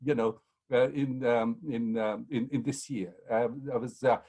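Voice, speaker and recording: A man's voice: medium pitch, fairly even intonation, a little slow, warm tone, husky. A man, a historian on a panel, telling rambling anecdotes full of ums and restarts. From Pakistan. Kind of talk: anecdotal storytelling in a panel talk.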